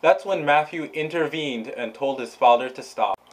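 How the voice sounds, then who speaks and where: A man's voice: mid-range voice, normal speed, low-quality recording. A man narrating a vlog and telling stories to his viewers. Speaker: vlogger.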